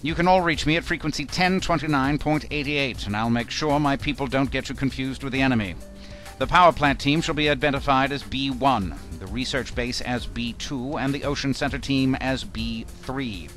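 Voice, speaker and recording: A man's voice: mid-range voice, normal speed, fairly bright tone, soft background music, close to an everyday voice. A man playing a businesslike commander in an audio drama, giving briefings in a formal, composed manner. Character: businesslike male commander briefing mission teams.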